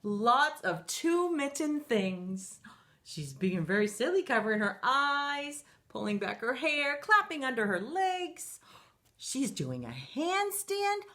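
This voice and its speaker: Woman, middle-aged, children's storytime reader; slightly high, unhurried, playful sing-song. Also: very animated, pushed and bright. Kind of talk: reading a picture book aloud to children.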